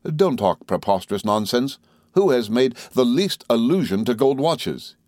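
Man playing an earnest, gently reproving young husband. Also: medium pitch, very animated, dry recording, studio quality. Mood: remonstrating. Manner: measured, formal, entreating. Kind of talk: dramatised classic novel reading.

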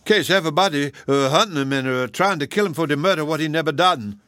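An excitable old ship's cook acted out by a male storybook reader, pitched high and given to wailing exclamations. He is fearful and devout, with a thick dialect, in a dry, close recording. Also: fast, no echo, lightly acted. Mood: doubtful, wary.

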